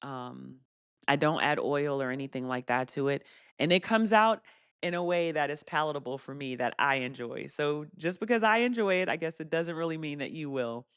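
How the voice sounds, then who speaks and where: A woman with a fairly deep voice hosting a casual live stream Q&A. She laughs often and reads viewer comments aloud. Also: expressive, moderate pace, clear, resonant tone, dry recording, studio quality. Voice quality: deeper voice for a woman.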